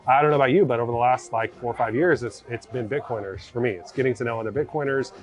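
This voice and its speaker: Man, American accent, podcast guest, quick pace, informal chatty delivery, medium pitch. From born in Egypt, grew up in America. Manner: informal, often says like and right. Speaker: podcast guest, former mortgage broker. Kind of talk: casual chat.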